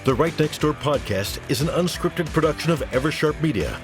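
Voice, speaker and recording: A man's voice: a little high, animated, fairly quick. A man reading a scripted podcast outro as an announcer. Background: music.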